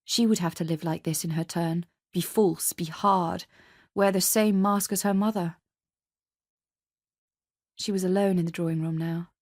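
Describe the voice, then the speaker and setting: A woman's voice: lowish voice, fairly even intonation, unhurried, pauses often, a little mellow, no echo, studio quality. A woman reading a dramatic scripted story as narrator. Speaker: narrator and reader.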